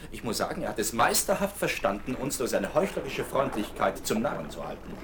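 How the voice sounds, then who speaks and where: Steady ship's doctor, measured, lively pitch, hissy recording. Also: male voice. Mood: earnest. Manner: calm, measured authority. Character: level-headed ship's doctor. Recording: hissy old vinyl radio play.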